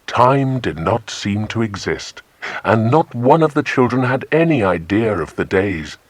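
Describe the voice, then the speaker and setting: A man's voice: on the low side, big pitch swings, unhurried, dry recording. A man narrating a children's adventure story from a script as an audiobook.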